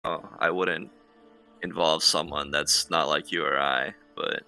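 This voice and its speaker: Male tabletop player, deep voice with heavy fry and a hard bright edge, casual delivery. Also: fairly even intonation, measured pace, faint music behind. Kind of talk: casual in-game discussion.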